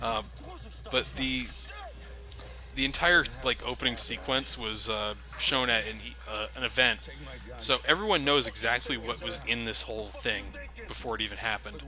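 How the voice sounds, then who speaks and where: A man's voice: medium pitch, normal speed. A young man casually commenting over game cutscenes, joking and critical of the game as the host. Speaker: let's play host.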